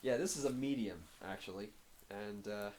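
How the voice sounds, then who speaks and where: Hoarse, halting man with a low voice. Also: a little mellow, slight background noise. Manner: halting, many filler pauses. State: hoarse from a cold. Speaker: fitness vlogger. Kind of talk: casual progress update to camera.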